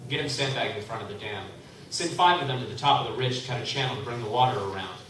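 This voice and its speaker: A man's voice: mid-range voice, fast, natural voice. A man playing a fiery, hot-tempered tinker. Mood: urgent. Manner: bursts into loud angry shouts. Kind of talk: live radio play acting. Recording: echoey hall from far off, background noise.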